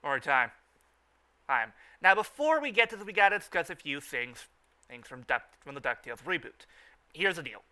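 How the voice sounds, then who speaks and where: Male fan-show host: higher-pitched, sharp bright tone, stumbling repetitive delivery, informal recap style. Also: very animated. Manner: halting, repeats and restarts phrases.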